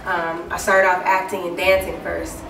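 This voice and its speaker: A woman singer giving a casual interview, talking in a deep, low speaking voice with many easygoing fillers. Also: moderate pace. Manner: relaxed and chatty, many fillers like "um" and "you know". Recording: echoey room, mic far off, clear background noise.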